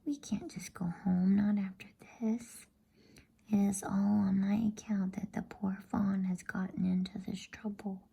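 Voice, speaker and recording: A woman voicing an earnest, kind-hearted young girl in a breathy, hushed ASMR storybook whisper. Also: medium pitch, level tone, a little slow, heavily acted. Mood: pleading.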